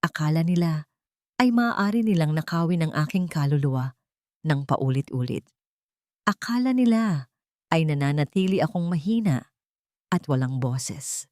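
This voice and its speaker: A woman's voice: low-pitched, expressive, moderate pace, long pauses, darkish tone, no room sound, clean studio sound. A woman with a Filipino accent voicing a wronged, determined provincial mother with an intense, resolute manner in an audiobook. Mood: bitter.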